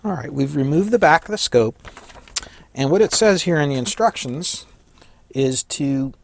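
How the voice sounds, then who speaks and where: A man with a slightly hoarse voice giving a casual hands-on electronics tutorial, thinking aloud and correcting himself as he works. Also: a little high, animated, moderate pace, a little hiss or hum. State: slightly hoarse, losing his voice. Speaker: electronics hobbyist demonstrating a kit.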